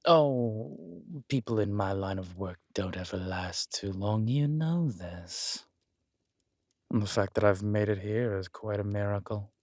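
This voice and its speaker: A man's voice: fairly low pitch, a little slow, darkish tone, no echo, clean studio sound, natural voice. A man playing a villainous conqueror king in a romantic roleplay audio, smug and flirtatious, teasing and haughty as he draws out his words. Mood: wry, musing.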